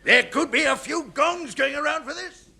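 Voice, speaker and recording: An actor playing a gung-ho army major with a raspy, blustering delivery in a radio comedy. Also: male voice, very high-pitched, moderate pace, pushed and bright, exaggerated voice. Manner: blustering military officer. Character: gung-ho army major commanding a gun crew.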